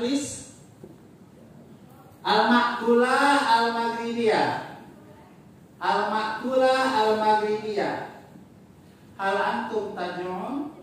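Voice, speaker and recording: A lecturer giving a casual slide talk, mixing in Arabic phrases, joking and quizzing the audience. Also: medium pitch. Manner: joking, chatty asides. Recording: very echoey room, distant mic, faint noise.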